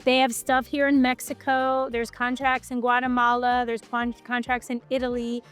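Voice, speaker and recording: A woman's voice: a little high, completely flat delivery, moderate pace, fairly bright tone, smooth, faint music behind. A woman from Michigan hosting a casual business chat, talking in an enthusiastic, chatty way full of "like". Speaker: host.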